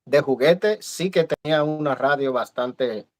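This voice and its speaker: A man's voice: fairly high pitch, a little slow. A man hosting a live video-call interview, friendly and hesitant, with many fillers. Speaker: host. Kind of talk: casual live-stream interview.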